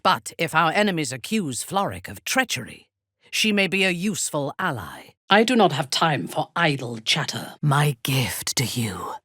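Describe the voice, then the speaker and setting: A woman playing a haughty, ruthless dark elf warrior in a fantasy video game, speaking in a measured, imperious, formal way with a breathy, husky voice. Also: sits low, very animated, no room sound, clean studio sound, heavily acted. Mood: commanding. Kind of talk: scripted video game character lines.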